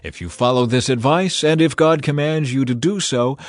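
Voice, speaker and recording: A man's voice: medium pitch, normal speed, lightly acted. Man acting an earnest, exhorting older priest, music behind. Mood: firm counsel. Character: earnest older father-in-law, a priest giving firm advice. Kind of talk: dramatized scripture reading.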